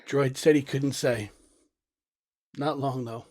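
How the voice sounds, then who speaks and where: A man's voice: medium pitch, steady tone, slow, darkish tone. A man playing a smuggler's devoted, stubborn lover in an audio drama, blunt and insistent, with raw emotion. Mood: pained, quiet.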